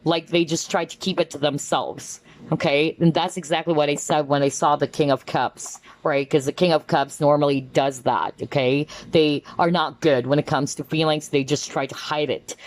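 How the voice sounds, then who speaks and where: A woman giving a casual tarot card reading, chatty and animated, often exclaiming and checking in with tag questions. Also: deep voice. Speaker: tarot reader.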